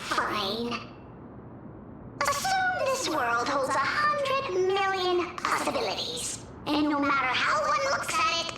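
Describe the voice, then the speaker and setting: A woman's voice: sits high, heavy background noise, full character voice. A woman voicing an impish, childlike trickster spirit in a video game, theatrical, taunting and defiant. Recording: echoing, distant voice under loud music.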